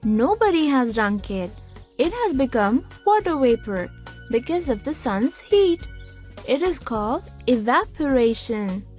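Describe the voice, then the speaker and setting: A woman voicing a lively, knowledgeable young cartoon guide who explains things expressively to a child. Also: sits high, measured pace, faint music behind. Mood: explaining. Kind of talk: scripted children's educational cartoon dialogue.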